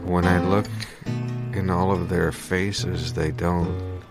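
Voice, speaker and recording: A man acts out a dreamy, discouraged amateur guitarist in an improvised comic monologue. His voice is very low and slow, halting as he muses wistfully. Guitar music plays loudly behind him. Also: level tone.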